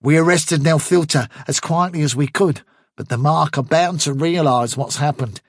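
A man's voice: fairly high pitch, normal speed, dry recording, clean studio sound. A man voicing a bossy male rabbit captain in an audiobook, giving clipped military orders. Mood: brisk, commanding. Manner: clipped officer's commands.

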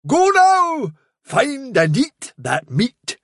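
A wily storybook trickster posing as a noble fairy messenger dog. The voice climbs high and swoops grandly, stretching words slowly. Every phrase is lofty, theatrical flattery. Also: male voice, no echo, clean studio sound, full character voice. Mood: regal, flattering. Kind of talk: dramatic storybook reading.

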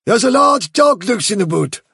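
A man voicing a brash, bold young buck rabbit who speaks bluntly and forcefully. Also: high-pitched, animated, normal speed, gravelly, slightly rough, dry recording, studio quality, voice pushed up, full character voice. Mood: urgent.

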